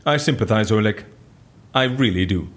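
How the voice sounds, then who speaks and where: An actor playing a wry, curious and easily incredulous interrogator in an audio drama, with a quizzical way of talking. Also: male voice, fairly low pitch, animated, moderate pace, natural voice. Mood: sympathetic, probing. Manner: wry, quizzical, folksy exclamations.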